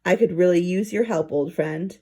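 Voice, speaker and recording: A woman's voice: normal speed, mellow, close to an everyday voice. A woman voicing a sly, pushy male mouse detective from a storybook, confiding and insistent. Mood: secretive, insistent. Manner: low-voiced, confiding, insistent.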